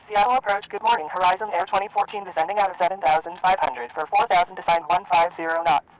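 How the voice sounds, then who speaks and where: Woman doing a female airline pilot, fast clipped readbacks, thin radio sound. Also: on the low side, steady tone, natural voice. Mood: routine. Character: female airline pilot reading back clearances. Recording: narrow radio band.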